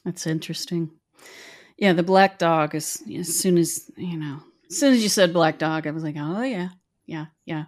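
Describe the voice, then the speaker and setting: Chatty lower-voiced woman from West Virginia. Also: normal speed. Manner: chatty, meandering, self-deprecating. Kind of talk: casual storytelling chat.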